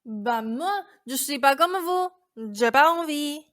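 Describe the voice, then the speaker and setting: Woman playing stubborn young Cinderella, high and slow. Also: expressive, fairly bright tone, full character voice. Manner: dry, stubborn, guarded. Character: sullen, skeptical young Cinderella who challenges her fairy. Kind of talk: stage-like radio play acting.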